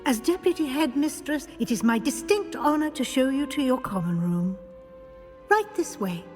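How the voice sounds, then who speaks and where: An actress playing a middle-aged British deputy headmistress in a fantasy game, courteous, formal, brisk and kindly. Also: female voice, sits high, expressive, darkish tone, soft background music. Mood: welcoming.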